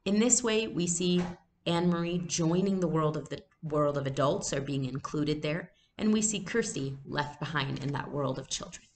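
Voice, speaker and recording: A young adult woman giving a read-aloud lesson, explaining things deliberately as a teacher. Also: low voice, darkish tone. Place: small room at home. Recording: noisy home webcam recording.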